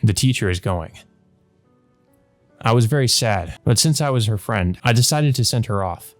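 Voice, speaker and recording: A man's voice: fairly low pitch, lively intonation, moderate pace. A man doing a let's play, reading a visual novel's narration aloud. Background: background game music.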